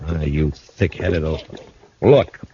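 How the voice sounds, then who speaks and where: Gruff american lawman, deep voice, terse western radio acting, dull old recording. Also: slow, dark tone, slight background noise. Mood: exasperated. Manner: terse, authoritative.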